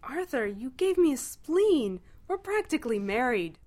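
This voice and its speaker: A woman voicing an excitable, sentimental male alien in a story reading, gushing and halting with emotion. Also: sits high, voice pushed up. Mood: eager, fond.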